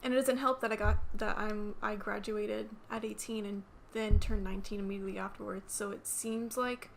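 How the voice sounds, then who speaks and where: A woman's voice: medium pitch, little pitch movement, fairly quick, vocal fry, a little hiss or hum. A woman in her late teens, a podcast guest, chatting and laughing often and swearing casually. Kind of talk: casual chat.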